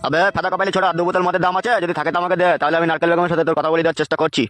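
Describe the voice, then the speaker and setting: Man playing a rapid-fire bullying gang boss. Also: fairly high pitch, little pitch movement, a bit bright, faint music behind, close to an everyday voice. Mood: bossy, abusive. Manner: swaggering, abusive, bossy. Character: foul-mouthed, bullying village club gang boss. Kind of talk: Bengali village comedy cartoon dialogue.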